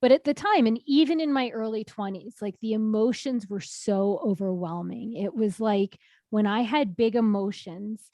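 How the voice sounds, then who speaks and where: A woman in her thirties co-hosts a casual podcast, talking in an animated way and laughing often. Her voice sits at a middle pitch and is recorded close and dry, with no room sound. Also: moderate pace, no echo. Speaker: podcast co-host. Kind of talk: casual chat.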